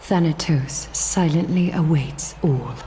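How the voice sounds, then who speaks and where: A woman playing a solemn, commanding demigod leader in a fantasy game, measured, formal and foreboding. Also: deep voice, warm tone, slight background noise, low-quality recording. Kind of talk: scripted fantasy game acting.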